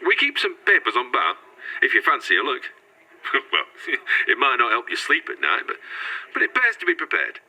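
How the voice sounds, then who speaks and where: A man's voice: medium pitch, huge pitch swings, normal speed, sharp tone, a little hiss or hum, exaggerated voice, rough recording. A man playing a chatty, folksy pub landlord in an audio drama. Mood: chatty, knowing. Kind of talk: horror audio drama acting.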